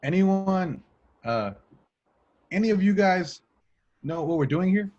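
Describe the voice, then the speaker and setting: A man's voice: high-pitched, expressive, slow pace, darkish tone, lo-fi sound. A man reading the part of a cocky, street-smart young police recruit who talks back, in an amateur script read. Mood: puzzled. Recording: home recording, remote reading.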